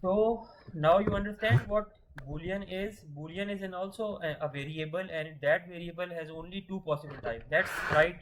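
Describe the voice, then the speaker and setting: A man's voice: high voice, normal speed, hardly any pauses, a little hiss or hum, rough recording. Male teacher, rhetorical questions. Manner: patient, asks questions then answers them; restarts words mid-sentence. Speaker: programming teacher. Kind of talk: screen-share coding lesson.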